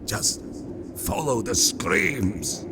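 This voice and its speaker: Guy doing a dying god of the hunt, slow, weak, gaspy n croaky, comic audio drama, noisy mic. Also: fairly low pitch, very animated, pitched below the performer's own voice, full character voice. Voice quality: breathy, halting, gasping and coughing. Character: dying Siberian hunting god, a broken, weakened male.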